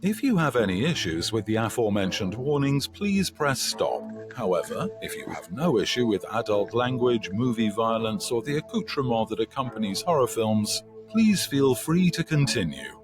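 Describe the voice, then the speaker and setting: A man reading a scripted content warning in a measured, solemn way. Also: mid-range voice, sing-song. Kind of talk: scripted announcement. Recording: music bed behind voice.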